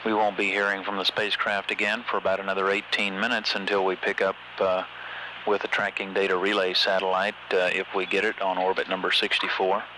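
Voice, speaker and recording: A man's voice: low voice, monotone, brisk. A man giving formal, matter-of-fact status commentary as a mission control announcer. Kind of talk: measured spaceflight status commentary. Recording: noisy archival space radio broadcast.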